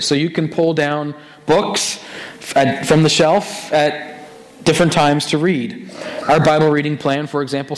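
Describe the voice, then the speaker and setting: Male pastor, raspy and hoarse, fairly high voice, chatty sermon delivery full of restarts. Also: low-quality recording. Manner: conversational, animated with many restarts.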